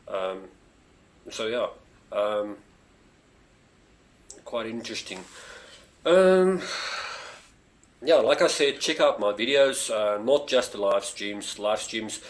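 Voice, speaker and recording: A man's voice: fairly low pitch, expressive, slow pace, long pauses. A man from South Africa with a South African accent, whose first language is Afrikaans, chatting casually on his own live stream with lots of fillers and eager appeals to viewers. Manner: rambling, many fillers, eager pleas to viewers. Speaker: space channel streamer. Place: home near a street.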